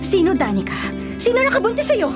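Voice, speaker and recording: A bossy elder sister in a radio drama, with a high voice that swoops widely as she scolds and insists. Music plays behind her. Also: huge pitch swings, a little fast, heavily acted. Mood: angry, scolding.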